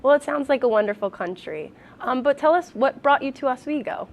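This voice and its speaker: Young female student TV host, higher-pitched, interview style. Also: lively intonation, fairly quick, muffled recording, a little hiss or hum. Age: young adult. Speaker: talk show host. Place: student television studio.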